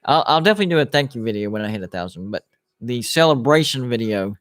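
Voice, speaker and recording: A man's voice: mid-range voice, animated, quick pace, a bit bright. A man in his late thirties hosts a live sports watch-party stream, chatting casually and reading viewer comments aloud. His talk rambles, with plenty of restarts and fillers. The sound is dry and close, with little room echo. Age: 30s. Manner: rambling, restarts, lots of "like" and "you know".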